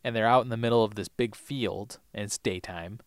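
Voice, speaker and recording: A man's voice: lowish voice, a little fast, no echo, studio quality. A man co-hosting a podcast, chatting casually and retelling scenes playfully. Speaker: podcast co-host. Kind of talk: casual chat, recapping TV episodes.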